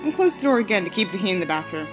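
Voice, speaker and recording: A woman's voice: mid-range voice, very animated, rapid-fire, over music. A woman reading an audiobook aloud with theatrical delivery and sarcastic, sweary asides. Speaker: audiobook reader and commentator. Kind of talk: scripted narration with sarcastic asides.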